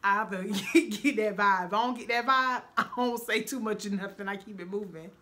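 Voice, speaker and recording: Bright-voiced woman chatting earnestly. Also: mid-range voice, a little fast, a little hiss or hum. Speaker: vlog co-host. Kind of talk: casual couple vlog chat.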